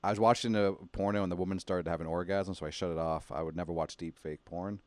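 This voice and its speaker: A young man with a slight speech impediment, talking quickly and joking loosely as a podcast host. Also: low-pitched, steady tone, darkish tone, no echo. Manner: fast-talking, jokey, swears freely. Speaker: podcast host and stand-up comedian. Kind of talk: casual podcast chat.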